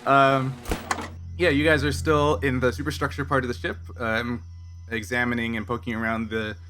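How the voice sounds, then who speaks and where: A man running a tabletop game as game master, improvising narration in a halting, self-correcting way. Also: medium pitch, little pitch movement. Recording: noisy, loud background hum.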